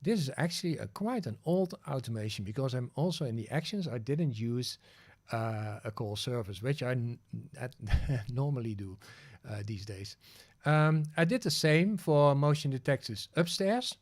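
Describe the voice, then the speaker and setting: A man's voice: mid-range voice, lively intonation, moderate pace. A man whose first language is Dutch hosts a livestream, walking through a tutorial on screen and thinking aloud, with frequent fillers and restarts. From Netherlands. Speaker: livestream host. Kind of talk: casual screen-share tutorial.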